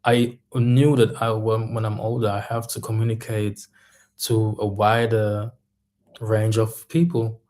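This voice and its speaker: A man talks slowly and unhurriedly in a low, mellow voice. He speaks as a coach giving a reflective monologue and often pauses to find the right word. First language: German. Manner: thoughtful, searching for words. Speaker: mindset coach and music artist.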